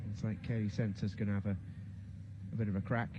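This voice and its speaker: A man giving live play-by-play commentary on a futsal match, excitable and rising to shouts at the big chances. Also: fairly low pitch, a little fast, highs cut off, background noise.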